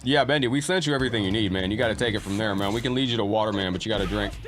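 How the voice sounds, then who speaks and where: Man, streaming host, low voice, slightly scratchy from a cold, chatty, game music behind. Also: rapid-fire. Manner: chatty, shouts out viewers by name. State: getting over a cold, a little scratchy. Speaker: live-stream gaming host. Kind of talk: casual gameplay commentary, greeting chat.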